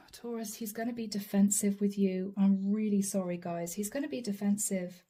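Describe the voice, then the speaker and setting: A woman doing a channeled tarot reading straight to the viewer, earnest and apologetic, her voice going hoarse. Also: mid-range voice, fairly even intonation, moderate pace, mellow. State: losing her voice. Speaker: tarot reader.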